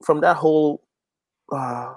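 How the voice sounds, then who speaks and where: A man's voice: a little high, slow pace, no room sound. A man in a casual interview, speaking as a guest musician and repeating phrases emphatically as he builds a point. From Guyana. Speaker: interview guest, gospel reggae artist.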